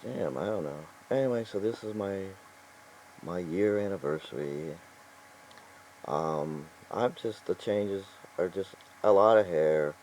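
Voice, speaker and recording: An older man with a low, mellow voice chats loosely to the camera. He talks in an exasperated, meandering way, chuckling and trailing off between thoughts. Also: a little hiss or hum, low-quality recording. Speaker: vlogger. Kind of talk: casual rambling vlog talk.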